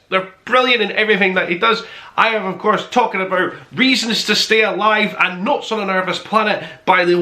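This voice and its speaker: Male review-show host, bright forceful voice, gushing and emphatic, recorded in a roomy space. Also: high voice. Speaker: host of a book review podcast. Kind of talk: casual review to camera, top five countdown. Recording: roomy, echoey indoor sound.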